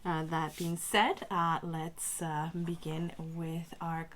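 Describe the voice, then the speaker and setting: A woman recovering from a cold with a strained voice, speaking haltingly as a tarot reader talking casually to her viewers. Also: deep voice, little pitch movement, very noisy. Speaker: tarot reader and channel host. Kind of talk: casual spiritual talk to camera.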